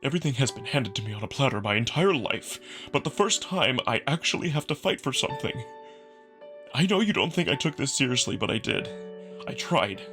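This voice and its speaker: A man voicing a proud male wolf prince who speaks in a halting, emotional, apologetic way, distraught and earnest. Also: mid-range voice, very animated, bright, forceful tone, faint music behind, pitched above the performer's own voice. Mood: distraught, self-doubting.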